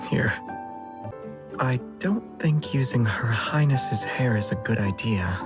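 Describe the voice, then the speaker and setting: A man playing a mild, sincere fantasy character with a measured, earnest manner. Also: mid-range voice, expressive. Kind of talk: character voice acting audition reel. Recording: music behind the voice.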